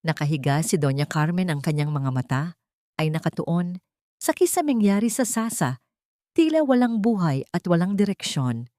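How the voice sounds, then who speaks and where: A woman with a Filipino accent narrating an emotional scripted story in a measured, literary storytelling manner. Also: on the low side, lively intonation, warm tone, no echo, studio quality.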